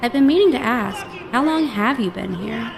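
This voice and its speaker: A woman playing a calm, hopeful newcomer on a psychiatric ward, measured and sympathetic, in a horror audio drama. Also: fairly high pitch, vocal fry.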